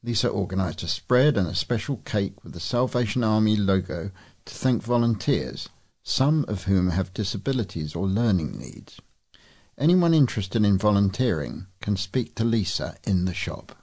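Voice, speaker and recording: A man's voice: on the low side, expressive, moderate pace, darkish tone. A man reading scripted local news as a volunteer newsreader.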